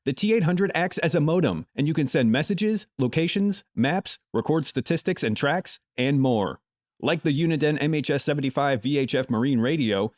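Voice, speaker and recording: A man's voice: fairly high pitch, darkish tone, no room sound. Close, dry male review narrator. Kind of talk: scripted narration. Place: close, dry voice-over recording.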